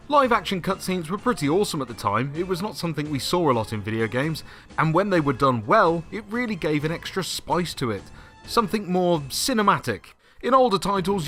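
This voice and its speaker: A man narrates a video game retrospective in a slightly high, animated voice over background music. His scripted delivery is conversational, with wry little asides. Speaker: presenter of a retro game review series.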